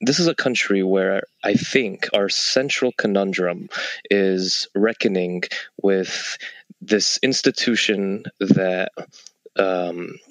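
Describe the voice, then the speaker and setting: A young man answering as an interview guest, thoughtful and deliberate, building his points through repeated rhetorical questions. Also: sits low, a bit bright, no room sound, low-quality recording. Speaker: interview guest, civil servant.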